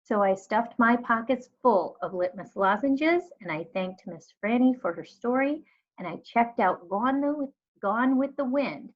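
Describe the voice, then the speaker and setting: A woman narrates a children's novel with expressive read-aloud delivery. Her voice sits at an ordinary middle pitch. The recording is slightly dull and lo-fi.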